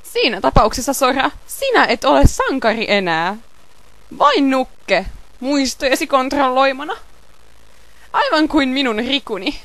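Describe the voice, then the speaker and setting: A woman's voice: high voice, big pitch swings, fairly bright tone, a little hiss or hum, heavily acted. A woman playing a cold, taunting male villain scientist in an amateur game fandub, with a theatrical, ominous delivery.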